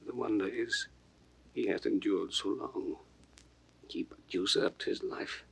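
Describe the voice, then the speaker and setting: An actor playing a loyal, grieving nobleman in a classical Shakespeare audio drama, solemn and often hushed. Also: lowish voice, measured pace, heavily acted. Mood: broken sorrow. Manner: hushed, solemn, breathy declamation. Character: loyal grieving nobleman, devoted servant to the king. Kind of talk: 1960s recorded Shakespeare drama, classical stage acting.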